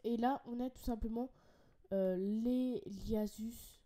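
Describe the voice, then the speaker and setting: A woman's voice: a little high, steady tone, slow, mellow. A young woman with a French accent and a bit of a cold, chatting enthusiastically as she walks through a website, full of fillers and restarts. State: has a cold, a bit congested. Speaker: ant-keeping channel host. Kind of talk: casual screen-share product walkthrough.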